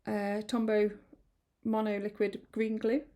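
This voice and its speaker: A woman chatting through a card-making tutorial, a little croaky from hay fever, rambling and apologetic with many ums. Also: medium pitch, completely flat delivery, a little slow, mellow. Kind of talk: casual craft tutorial. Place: home craft room.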